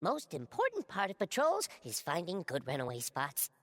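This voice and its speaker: A woman's voice: on the low side, wildly swooping pitch, moderate pace, fairly bright tone, heavily acted. A woman playing a cowardly, chatty little alien soldier in a video game, heard with long reverb. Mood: sheepishly candid. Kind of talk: video game character monologue.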